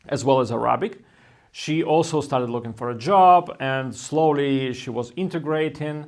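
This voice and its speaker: A man in his thirties, hosting a language-learning channel, talking through research in a steady, thoughtful way with non-native phrasing. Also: mid-range voice. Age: 30s. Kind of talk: explainer talk to camera.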